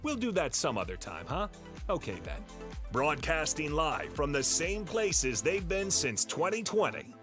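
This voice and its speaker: A man delivering scripted announcer bits for a morning show in a theatrical, drawn-out way. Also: fairly high pitch, very animated, over music.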